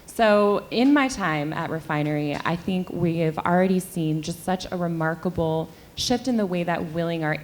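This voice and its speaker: A woman's voice: lowish voice, normal speed, hardly any pauses. A young woman speaking candidly as a panelist at a luncheon, conversational with frequent fillers. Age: young adult. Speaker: panelist, sex and relationship editor. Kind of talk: panel discussion remarks. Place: luncheon event hall.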